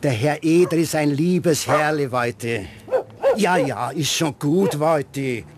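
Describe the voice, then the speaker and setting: An actor playing a courteous, effusive gentleman director and doting dog owner, chatty, in a radio play. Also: on the high side, takes it slowly, slight background noise. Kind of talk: German radio play acting.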